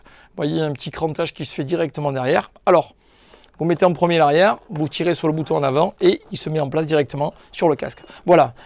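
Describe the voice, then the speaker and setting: Male shop presenter for a step-by-step demo, explanatory and informal, marked vocal fry, close dry sound. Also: on the high side, darkish tone, dry recording. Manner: explanatory, informal, addressing viewers directly. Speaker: shop staff presenting a tutorial. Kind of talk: step-by-step hands-on product tutorial.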